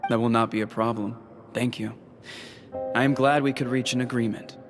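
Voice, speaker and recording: An actor playing a proud, unyielding leader of a nation, speaking firmly and formally in a dramatic video game style. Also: male voice, mid-range voice, steady tone, moderate pace, lightly acted. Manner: firm, formal, resolute oratory. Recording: music playing behind the voice.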